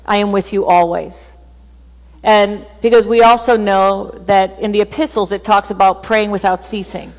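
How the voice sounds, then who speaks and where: Chatty woman pastor in a reverberant church. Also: medium pitch. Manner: chatty, animated, laughs at herself. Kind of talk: sermon. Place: church stage with band gear.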